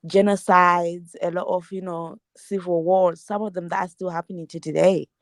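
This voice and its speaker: A woman's voice: fairly low pitch, little pitch movement, normal speed, no echo, studio quality. A woman guest in a live discussion, speaking thoughtfully and hedging her points with fillers.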